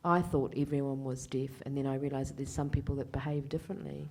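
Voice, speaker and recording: A woman's voice: rumbling low, steady tone, quick pace, a crowd in the background. A woman voicing a Deaf man on a panel, in the first person, hesitant with many fillers. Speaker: sign language interpreter voicing a Deaf male panellist.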